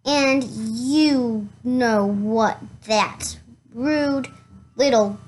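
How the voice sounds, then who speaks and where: Someone reading a children's storybook aloud in a halting way, stumbling over words and going back over them. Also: mid-range voice, a little hiss or hum. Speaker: reader of a children's picture book.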